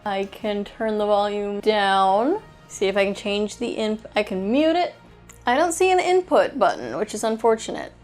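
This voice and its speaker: A woman's voice: mid-range voice, animated, normal speed, a little mellow. A woman with a mid-range voice hosts a casual toy review over music. She talks in a chatty, unscripted way, thinking aloud as she handles each item.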